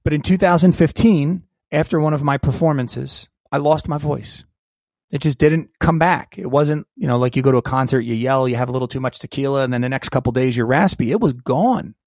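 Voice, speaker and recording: A man telling a personal story as a podcast guest, lively and acting out the voices of people in it. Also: medium pitch, a little fast, dry recording, clean studio sound. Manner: animated storyteller who acts out quoted dialogue. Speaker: guest, keynote speaker and coach.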